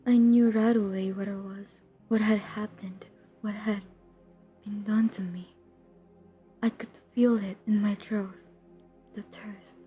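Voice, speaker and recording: A woman playing a centuries-old, guilt-ridden but determined vampire hunter in an intimate audio roleplay, speaking in a hushed, confessional, breathy voice that often drops to a whisper. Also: medium pitch, natural voice. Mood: haunted, pained. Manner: hushed, confessional, halting, earnest. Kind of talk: intimate dramatic audio roleplay addressed to the listener.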